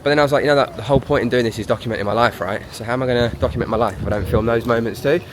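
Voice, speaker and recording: A man's voice: mid-range voice, very fast, background noise. A man, chatting casually in a vlog, a bit congested from a sore throat, upbeat and rambling. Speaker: vlogger.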